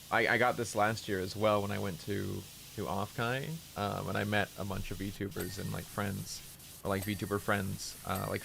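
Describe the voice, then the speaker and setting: A young man streaming who chats casually about himself, hesitant and rambling with many fillers, deliberately lowering his voice. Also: creaky, fairly bright tone, slight background noise, poor audio. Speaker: VTuber streamer. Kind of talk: casual stream chat while gaming.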